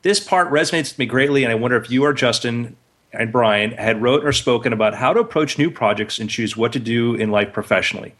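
A man's voice: mid-range voice, a little fast, fairly bright tone. A man in a casual podcast chat, blunt and dryly teasing as a co-host.

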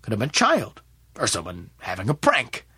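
A man voicing a polite, stern gentleman investigator in an audiobook, with a courteous, formal, probing way of talking. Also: on the low side, wildly swooping pitch, normal speed, husky, no echo, heavily acted. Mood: musing.

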